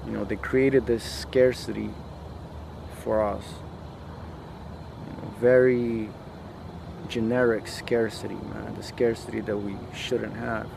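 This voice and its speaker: A man from a post-Soviet country speaking in a casual, reflective monologue, halting and repeating himself, with lots of slangy filler. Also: fairly low pitch, level tone, creaky, noisy background. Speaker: podcast host.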